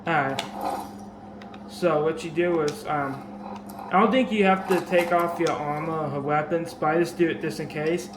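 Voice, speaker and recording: A man with a sore, dry throat and a slightly raspy voice, explaining a game trick in a casual, rambling way full of fillers and restarts, recorded on a camera mic. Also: on the high side, steady tone, moderate pace. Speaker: gaming video creator. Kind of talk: casual game tutorial commentary. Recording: echoey room with background noise.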